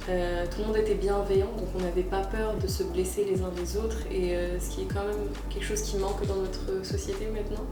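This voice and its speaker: A young woman of Algerian origin, talking earnestly about her experience in a casual interview and pausing often with "euh". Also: medium pitch, reverberant, far from the mic. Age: young adult. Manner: earnest, with frequent "euh" pauses and restarts. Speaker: student interviewee.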